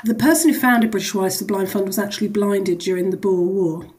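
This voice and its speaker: A woman's voice: medium pitch, fast, a little mellow. A woman speaking as a charity executive in a radio interview, explaining the work in a conversational, wordy way with frequent asides.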